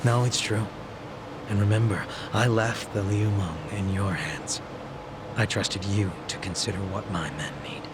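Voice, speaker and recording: An actor playing a streetwise, principled former gang boss in a video game, casual and persuasive over background noise. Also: male voice, fairly low pitch, measured pace, close to an everyday voice. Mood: firm, persuasive. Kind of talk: English video game voice acting.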